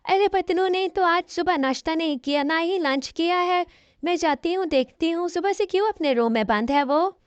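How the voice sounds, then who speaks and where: A woman's voice: very high voice, moderate pace, no room sound. A woman voicing an exasperated, scolding middle-aged mother in a cartoon, reproachful and insistent. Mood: concerned. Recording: dull, lo-fi audio.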